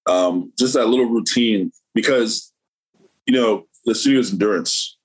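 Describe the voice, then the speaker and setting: A man with a lowish, husky voice chats loosely as an interview guest. He rambles, trails off and restarts often, filling gaps with ums and likes. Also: moderate pace, rough recording. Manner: rambling, many fillers and restarts. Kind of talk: casual interview conversation.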